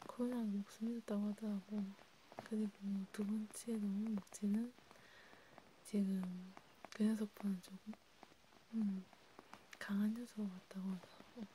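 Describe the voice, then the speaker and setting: A woman whispering in a breathy, hushed, intimate way, chatting casually as in an ASMR roleplay. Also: mid-range voice, low-quality recording. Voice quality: breathy, mostly unvoiced whisper. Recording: steady background noise.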